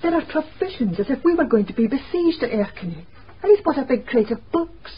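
An actress playing an earnest, troubled young Scottish niece in a radio drama. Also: female voice, high-pitched, expressive, brisk, breathy edge, heavily acted. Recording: muffled radio drama, faint noise.

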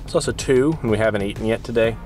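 A man's voice: on the low side, fast, darkish tone, soft background music. A man around his thirties chatting casually to the camera as a travel vlogger, sitting inside a car.

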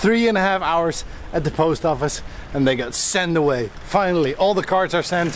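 A man's voice: fairly high pitch, animated, brisk, slight background noise. Chatty man vlogging at arm's length. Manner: chatty, rambling, self-deprecating. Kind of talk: casual vlog chat. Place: outdoors on city streets at night, then at home. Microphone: camera-mounted mic, held at arm's length.

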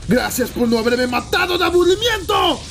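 Guy doing a brash tired anime fighter, stubborn type, latin american spanish accent, kinda deep voice, music under it. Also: fairly even intonation, measured pace, lightly acted. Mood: desperate, furious. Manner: brooding muttering that erupts into strained shouts. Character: brash, stubborn, weary male anime warrior searching the underworld. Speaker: fan dub voice actor. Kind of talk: anime fan dub acting.

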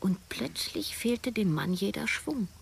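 An actress playing a woman writer in her thirties, narrating her own story in measured, literary phrases for a radio drama. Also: medium pitch, animated, vocal fry, slight background noise. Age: 30s. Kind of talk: scripted first-person narration, radio drama. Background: music.

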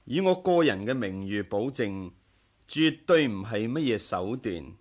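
An actor with a Hong Kong Cantonese accent playing a serene, tolerant young monk leader in a radio drama, gentle and courteous. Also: male voice, on the low side, big pitch swings, unhurried. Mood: patient, earnest.

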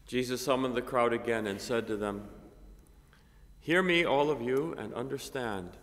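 An older man, a priest, reading a gospel and preaching a homily at a lectern microphone, measured and halting with many fillers. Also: medium pitch, fairly bright tone. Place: cathedral chapel, at a lectern. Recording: long reverb of a chapel.

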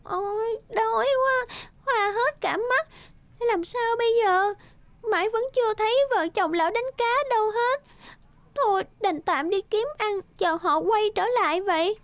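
A woman's voice: very high voice, a little slow, no room sound, pitched above the performer's own voice. A woman voicing a childlike, lazy swan in a cartoon fairy tale, exclamatory and gushing. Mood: whiny, hungry. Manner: exclamatory, gushing and self-pitying. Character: lazy pink swan, childlike, gleeful then whiny. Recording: music under the voice.

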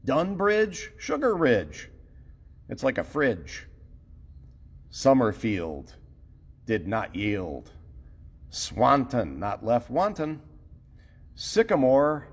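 Midwestern male weatherman, very slow and lively sing-song rhymes, husky tone, long reverb. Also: medium pitch. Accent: Midwestern American. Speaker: TV chief meteorologist. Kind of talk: rhyming weather recap.

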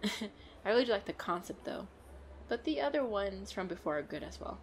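A woman playing a playful, excitable girlfriend in a chatty roleplay audio, with a bubbly, expressive delivery. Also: medium pitch, moderate pace, slight background noise, lightly acted. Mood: excited, teasing. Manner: bubbly, expressive, frequent giggles and exclamations. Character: playful, excitable, pleading girlfriend out costume shopping.

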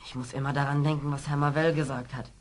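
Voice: mid-range voice, level tone, quick pace, lightly acted. A performer playing an earnest, questioning teenage orphan boy in a German children's radio play. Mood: wistful. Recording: clear background noise on old record.

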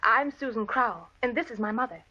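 A woman's voice: a little high, animated, a little fast, pushed and bright. A woman playing an earnest, resolute young daughter of a slain preacher, with an American accent, in an old radio western. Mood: firm. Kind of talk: 1940s-50s radio western acting. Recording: dull vintage radio recording.

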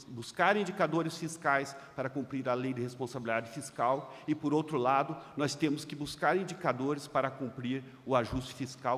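A middle-aged Brazilian man reading a formal speech at a conference panel, speaking into a desk microphone in a large hall. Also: medium pitch. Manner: emphatic, rising loud on key phrases, slowing to stress points. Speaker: seminar speaker. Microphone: desk microphone on a stand. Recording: long hall reverb.

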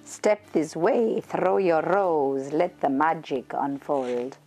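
A woman's voice: low voice, takes it slowly, mellow, soft background music. A woman voicing an elegant, smirking male lion adventurer from a storybook, speaking in suave, inviting verse.

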